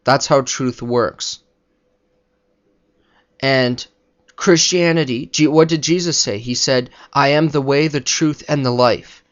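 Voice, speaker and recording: A man's voice: mid-range voice, slow, long pauses. Didactic man in dull lo-fi audio. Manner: didactic, argumentative. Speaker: religious video commentator. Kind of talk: unscripted spoken commentary on religion.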